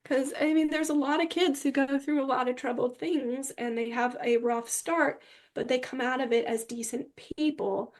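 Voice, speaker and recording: A woman's voice: high-pitched, steady tone, normal speed. A woman speaking as an interview guest, giving candid personal testimony in an earnest, rambling way that often seeks agreement. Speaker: interview guest recounting personal experience with psychiatric medication.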